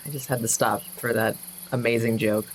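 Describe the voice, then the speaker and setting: A woman's voice: very deep voice, fairly even intonation, normal speed, a little hiss or hum, poor audio. A woman playing a tabletop game, chatting casually and jokingly.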